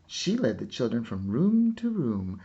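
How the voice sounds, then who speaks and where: A man narrating a children's picture book with measured, deliberate storytelling. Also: mid-range voice, huge pitch swings, mellow, muffled recording. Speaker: storybook reader.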